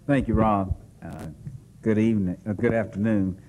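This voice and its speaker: A man, a county's chief public defender, presenting to a selection panel in a relaxed, joking way with many hesitations. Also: lowish voice, normal speed, slight background noise. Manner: relaxed and jokey, frequent "uh" hesitations. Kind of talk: semi-formal presentation with asides. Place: hall at a press club.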